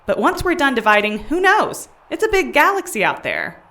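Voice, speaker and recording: A woman's voice: sits high, huge pitch swings, normal speed, pushed and bright, full character voice. A woman playing a bratty, defiant young alien twin in an audio comedy, sassy and argumentative. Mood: flippant. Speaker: voice actor. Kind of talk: audio comedy drama acting.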